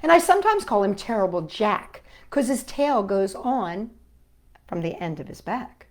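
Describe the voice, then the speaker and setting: A woman hosting a children's storytime, reading picture books aloud and singing a call-and-response song with a puppet, in a gentle, sing-song way. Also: mid-range voice, very animated, moderate pace.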